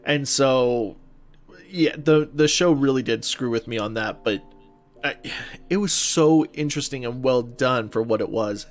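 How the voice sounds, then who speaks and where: A man's voice: medium pitch, measured pace, faint music behind. A man co-hosting a podcast, chatting casually and informally about films and games with gushing opinions. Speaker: podcast co-host.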